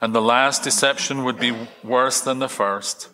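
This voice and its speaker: A man's voice: mid-range voice, steady tone, measured pace, bright, forceful tone. A man reading the part of a scornful chief priest in a church scripture reading, in a declamatory manner. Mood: formal, accusing. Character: scornful, accusing chief priest of ancient Jerusalem. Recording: long hall reverb.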